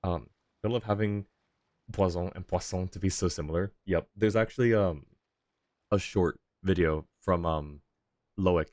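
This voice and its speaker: Deep-voiced man streaming a game. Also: measured pace, a little mellow, dry recording, clean studio sound. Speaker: game livestreamer. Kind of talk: casual commentary while playing.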